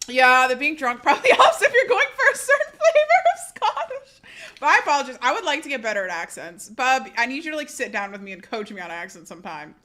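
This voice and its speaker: A woman's voice: sits high, big pitch swings, quick pace, sharp tone. A woman livestreamer chatting casually with her audience, chatty and self-deprecating, often laughing and reading chat aloud.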